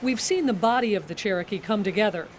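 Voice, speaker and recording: A woman's voice: medium pitch, expressive, fast, heavy background noise. A middle-aged woman, a TV news reporter, delivering scripted news narration.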